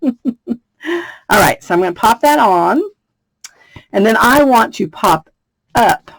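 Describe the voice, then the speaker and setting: A woman from the United States with a slightly raspy voice, chatting through a card-making tutorial and laughing between steps. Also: fairly high pitch, animated, takes it slowly, no room sound. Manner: chatty, laughs often, thinks aloud. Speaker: craft demonstrator. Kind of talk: casual craft tutorial.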